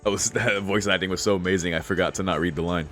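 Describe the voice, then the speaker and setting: A man's voice: deep voice, steady tone, fast talker, a bit bright, soft background music. A man streaming a game, reading its text aloud and reacting casually with slangy exclamations and swearing. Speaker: gaming streamer reading a visual novel aloud.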